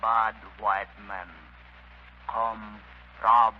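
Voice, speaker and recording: Old tribesman for vintage radio play: grave, loyal, halting broken English, extremely slow delivery, dull old broadcast sound. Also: on the low side, little pitch movement. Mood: grave, urgent. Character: old native mine worker, solemn mystical tribesman, loyal. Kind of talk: 1930s radio acting. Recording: muffled, noisy 1930s radio broadcast.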